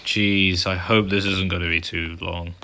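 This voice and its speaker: A man giving casual, low-key playthrough commentary as a gamer, often muttering to himself. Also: level tone, unhurried, sharp tone, slight background noise. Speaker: gamer, channel host. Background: game music.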